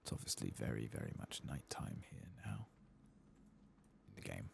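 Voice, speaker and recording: Very deep, creaky soft-spoken man. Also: huge pitch swings, a little hiss or hum. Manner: hushed, unhurried, muttering to himself. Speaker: streamer playing a fantasy game. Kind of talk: soft-spoken, whispered ASMR gameplay commentary.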